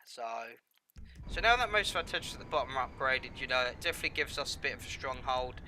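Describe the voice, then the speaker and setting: A man with a slight cold talks through his gameplay in a loose, rambling way, stopping often for ums and you-knows. His voice is a little nasal and sits at a middle pitch. Steady game noise plays clearly under him. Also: fast talker. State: has a cold, a bit nasal. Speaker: gameplay commentator. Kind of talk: casual gameplay commentary.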